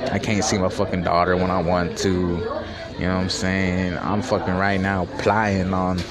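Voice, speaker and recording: A man in his twenties hosting a casual solo podcast from a fast-food restaurant, talking in boastful, profane street slang. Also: low-pitched. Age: 20s. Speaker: podcast host. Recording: crowded restaurant chatter behind. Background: restaurant crowd chatter.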